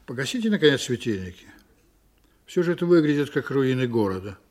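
A man's voice: mid-range voice, big pitch swings, brisk, darkish tone. An actor playing a stern, weary male starship commander who gives curt, clipped orders in a radio drama. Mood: commanding.

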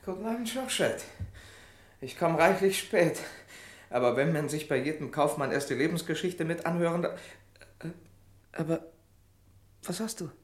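An actor playing Maurice, a gruff, loyal, protective friend, in an urgent, coaxing way in a German radio crime drama. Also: male voice, a little high, normal speed, long pauses, lightly acted. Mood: concerned, coaxing.

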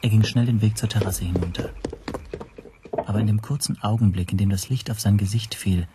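A man's voice: on the low side, fairly even intonation, brisk, noisy background, natural voice. An actor playing a tense, self-doubting man who tells his own story as introspective first-person narration in a radio play. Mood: brooding.

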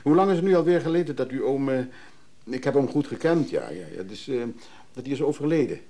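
Radio drama: polite evasive buyer, mellow timbre, lively pitch, hesitant courteous delivery. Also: male voice, fast talker, no echo. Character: polite, evasive male buyer, smooth and eager. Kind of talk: naturalistic radio drama acting.

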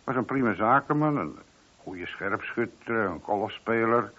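An actor playing a chatty, deferential but opinionated middle-aged police sergeant in a radio play. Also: male voice, lowish voice, expressive, a little slow, bright, forceful tone, full character voice. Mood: gossipy, matter-of-fact. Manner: talkative, colloquial, deferential. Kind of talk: radio drama acting. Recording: dull, lo-fi old radio recording.

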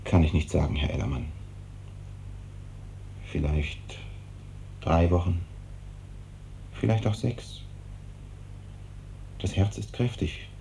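An actor playing an evasive, hesitant hospital doctor in a 1950s German radio drama, on an old noisy recording. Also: male voice, deep voice, animated, slow pace, gravelly, long pauses, mellow, exaggerated voice. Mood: grave. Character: evasive, brisk hospital doctor, uneasy with hard truths.

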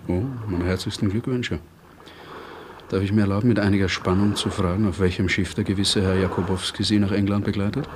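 A man's voice: low-pitched, fairly even intonation, brisk, background noise, natural voice. A man playing a curt, coldly formal British naval commander with precise, sarcastic politeness in a German radio play.

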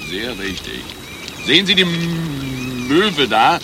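An actor playing an eccentric, pompous spy chief in a satirical radio play, stuttering on single letters and syllables. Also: male voice, a little high, expressive, slow. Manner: pompous, theatrical. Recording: noisy outdoor ambience behind the voice.

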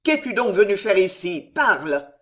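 A reader voicing a raging, bitter old father and captain who growls loud commands in a storybook. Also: medium pitch, very animated, moderate pace, close to an everyday voice. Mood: enraged.

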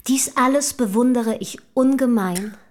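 Schauspielerin als hohe, selbstbewusste junge Adlige. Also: female voice, on the high side, measured pace, close to an everyday voice. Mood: proud, effusive. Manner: formal, elevated, inquisitive diction. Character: eager, self-assured young noblewoman writer, early 1800s. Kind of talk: German radio play acting.

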